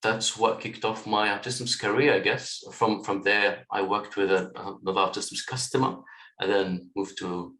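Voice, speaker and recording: Late-twenties man from Lebanon, raised in Kuwait, lowish voice, relaxed and thoughtful, echoey room on a call. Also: normal speed. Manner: relaxed, thoughtful, with frequent fillers. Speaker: guest, software tech lead. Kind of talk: interview conversation.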